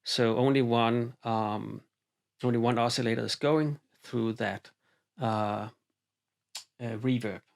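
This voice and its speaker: A man walking through a synthesizer patch in a home studio, talking in a relaxed, halting way with frequent ums and uhs. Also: mid-range voice, creaky. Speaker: synthesizer demonstrator. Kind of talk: hands-on patch explanation. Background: synthesizer music.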